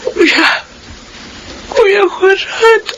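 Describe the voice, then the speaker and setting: A woman's voice: very high-pitched, very slow, noisy background, full character voice. An actress playing Violeta, a middle-aged mother, in an emotional radio drama. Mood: grieving. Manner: emotional, pleading. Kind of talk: classic radio drama acting.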